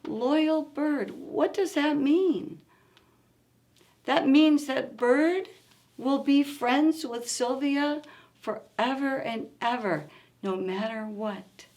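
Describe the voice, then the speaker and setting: A woman reading aloud for young children in an expressive, deliberate storytime manner, engaging her listeners directly. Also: a little high. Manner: expressive, asks listeners simple questions. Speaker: children's story-time reader.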